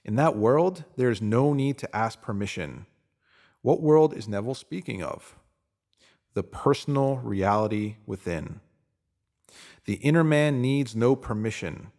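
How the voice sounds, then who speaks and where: A man reading a scripted motivational spiritual piece in a deliberate, emphatic manner, pausing to give phrases weight. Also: medium pitch, darkish tone. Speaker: narrator reading a spiritual self-help post.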